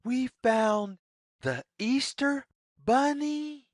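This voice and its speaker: Woman, children's read-aloud narrator, high voice, deliberate questioning delivery, dry close recording. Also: dry recording. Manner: deliberate phrasing with questions to the listener.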